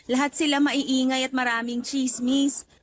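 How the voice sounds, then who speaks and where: A bubbly young office trainee in a radio drama. Her voice is high, and she chats in a playful, forward way full of exclamations. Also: level tone, a little slow, a little hiss or hum. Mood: cheerful, chatty. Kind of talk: Tagalog radio drama acting.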